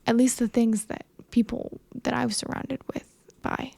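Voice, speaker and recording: Young woman, high creaky voice. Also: flat delivery, moderate pace, dark tone, no room sound. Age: early 20s. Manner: earnest, self-conscious, conversational. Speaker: vlogger, young filmmaker. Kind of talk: candid casual monologue to camera.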